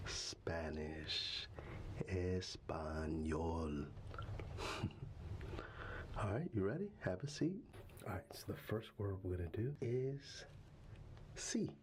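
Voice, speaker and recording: Man, very low voice, soft fatherly ASMR whisper, unhurried and halting, close-miked over constant ambient hiss. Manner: soft, half-whispered and tender, with halting restarts. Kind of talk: ASMR roleplay. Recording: steady ambient background noise.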